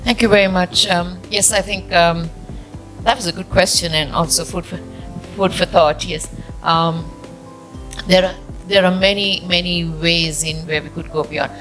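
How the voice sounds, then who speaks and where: A woman's voice: lowish voice, fairly even intonation, moderate pace, a bit bright, soft background music, poor audio. A woman panelist, a former mayor, politely answering an audience question.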